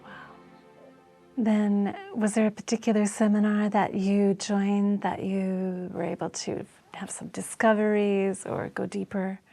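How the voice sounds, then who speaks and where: A woman's voice: medium pitch, flat delivery, measured pace, gravelly, dark tone, faint music behind. A woman hosting an interview show, introducing her guest and asking questions in a friendly, encouraging manner. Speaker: host.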